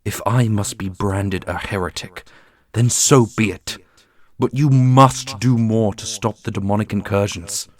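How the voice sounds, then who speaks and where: A man playing a bold, impassioned rebel paladin in a fantasy audio roleplay, speaking forcefully and earnestly. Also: fairly low pitch, a little slow, lightly acted. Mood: defiant.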